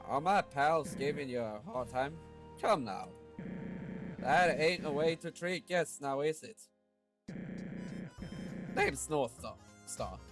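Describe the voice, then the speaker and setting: Man doing a loud, showboating town boss. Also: on the high side, expressive, slow pace, pauses often, soft background music. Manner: folksy, theatrical, grandstanding. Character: swaggering Wild West monster town boss, male, showboating.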